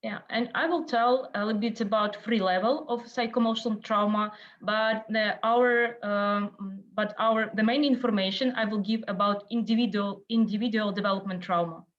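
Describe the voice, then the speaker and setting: A woman whose first language is Russian gives an online lecture in a second language. She pauses often and searches for words. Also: medium pitch, steady tone. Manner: halting, searching for words, many uh and um. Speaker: guest lecturer. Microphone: video call. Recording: dull lo-fi call audio.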